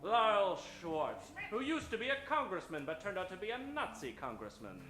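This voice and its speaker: Man acting an excitable, swooping high-pitched radio adventure hero. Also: normal speed, heavily acted. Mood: dramatic, confident. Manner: melodramatic, exclamatory. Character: excitable male adventurer hero and code breaker, bossy, self-assured. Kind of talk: live old-time radio parody acting. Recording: roomy stage sound with music behind.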